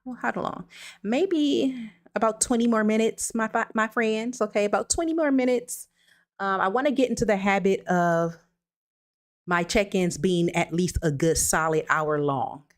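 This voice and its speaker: A woman's voice: medium pitch, unhurried. A woman hosting a casual livestream, chatting loosely and reassuringly as she reads out viewers' comments. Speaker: livestream host. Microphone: streaming setup with computer mic.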